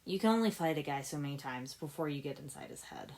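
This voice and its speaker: Woman reading as an earnest young male ninja, low-pitched. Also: lively intonation, quick pace, darkish tone. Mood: rueful, serious. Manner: explanatory, rueful.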